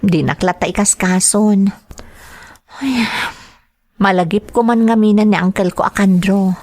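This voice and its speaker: A woman's voice: mid-range voice, unhurried, a little mellow, dry recording, clean studio sound, close to an everyday voice. A woman with an Ilocano accent playing a humble, burdened young student in a radio drama, earnest and plaintive. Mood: downcast.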